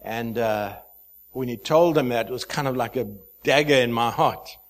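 A man in his fifties from Zimbabwe, a pastor speaking to a church, chatty and halting, with many fillers and restarts. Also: mid-range voice. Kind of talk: sermon introduction.

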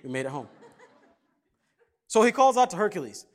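Lively conversational preacher man. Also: high-pitched, normal speed. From Kenya. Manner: conversational, jokey, animated. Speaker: pastor. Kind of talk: casual sermon with stories.

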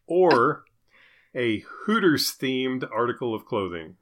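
A man's voice: medium pitch, sing-song, takes it slowly, a bit bright. A man co-hosting a podcast, chatting casually and jokingly as he poses playful questions. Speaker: podcast co-host. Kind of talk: casual, joking chat posing would-you-rather questions.

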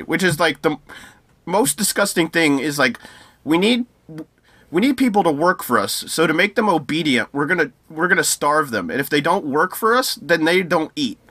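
A man's voice: on the high side, pushed and bright, no echo. A man in casual podcast chat, ranting with sarcasm and many restarts. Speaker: podcast co-host.

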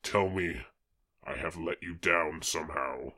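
A man voicing an anguished, desperate swamp monster in a dramatic comic-recap reading, with a husky, gravelly voice. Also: rumbling low, measured pace, pushed and bright, pitched below the performer's own voice, heavily acted. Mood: pleading.

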